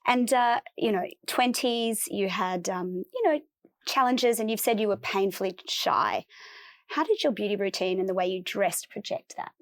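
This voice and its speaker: A woman hosting an interview, asking gentle questions and murmuring agreement. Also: medium pitch, moderate pace. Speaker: interview host. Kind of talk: conversational interview.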